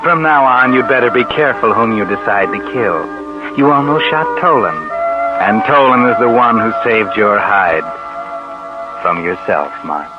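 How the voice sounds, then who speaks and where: An actor playing a knowing, sardonic narrator of a 1940s radio crime tale, taunting the character directly. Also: male voice, fairly low pitch, lively intonation, unhurried. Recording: muffled 1940s radio recording. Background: loud dramatic music.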